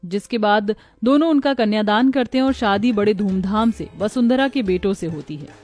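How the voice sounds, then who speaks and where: A woman narrating a children's cartoon story in a gentle, storytelling way. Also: mid-range voice, normal speed, no echo, clean studio sound, faint music behind.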